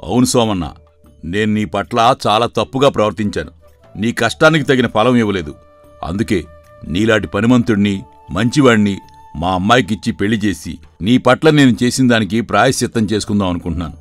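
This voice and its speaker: A stern, middle-aged farm master acted by a man from an animated folktale. His voice sits low, and he speaks emphatically, as a hot-tempered head of the household. Faint music plays behind him. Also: fairly quick, natural voice. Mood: earnest. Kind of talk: animated moral story acting.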